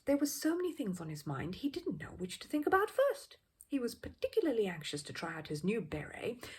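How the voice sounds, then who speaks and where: A woman reading a children's story aloud as an expressive narrator. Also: mid-range voice, breathy edge. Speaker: audiobook reader.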